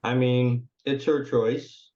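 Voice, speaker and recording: A blunt male team owner, voiced by a man, gives short, flat answers in a mid-pitched voice. The sound is dull and comes from away from the mic. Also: slow, natural voice. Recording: muffled, distant mic.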